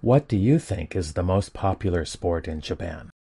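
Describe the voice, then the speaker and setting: A man reading English example sentences for a lesson, each one said twice in an even, deliberate way. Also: deep voice, husky.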